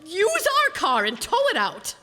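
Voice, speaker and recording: A woman acting a sharp, long-suffering, wisecracking wife in a 1940s-style radio sitcom, dry and scolding. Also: sits high, huge pitch swings, a little slow, exaggerated voice. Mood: scolding, exasperated. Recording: reverberant hall, stage radio play.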